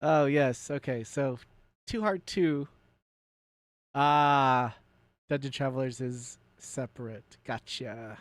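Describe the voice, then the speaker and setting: Male gaming streamer, medium pitch, nerdy and casual, rambling live commentary with loose swearing, very dry sound. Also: takes it slowly, dry recording. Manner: self-described nerdy enthusiast. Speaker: game streamer hosting a let's play.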